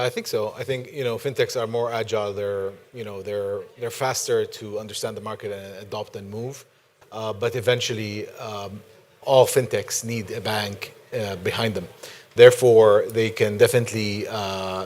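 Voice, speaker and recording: A man speaking as a panelist in a forum discussion, relaxed and with many fillers. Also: lowish voice.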